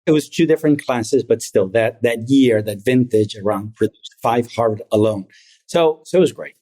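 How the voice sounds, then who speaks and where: A middle-aged man born in Argentina, a private equity founder telling his story in a measured, reflective way as an interview guest. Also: medium pitch, dark tone. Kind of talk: interview conversation.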